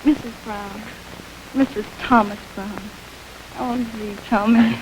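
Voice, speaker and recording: An actress playing a sweet, timid young fiancée with a breathy, gushing manner in an old radio drama. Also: female voice, fairly high pitch, fairly even intonation, slow pace, noisy background. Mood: dreamy. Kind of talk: 1940s radio acting.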